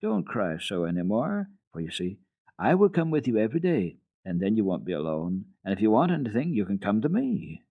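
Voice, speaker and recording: A man voicing an eager, kind little girl, asking earnest and gentle questions. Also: on the low side, big pitch swings, a little fast, no room sound. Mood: tender. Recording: tinny old radio recording.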